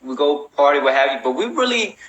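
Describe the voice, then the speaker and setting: A man's voice: a little high, sing-song, fast talker, fairly bright tone, poor audio. A man hosting a casual chat in a loose, slangy, rambling way with frequent check-ins. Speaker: host.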